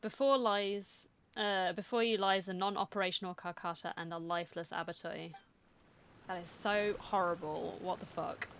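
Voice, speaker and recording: A woman's voice: on the low side, fairly even intonation, normal speed, fairly bright tone, smooth. Woman streamer casually reacting to a game. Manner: sympathetic, muttered reactions. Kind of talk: casual playthrough commentary. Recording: game music underneath. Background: game music.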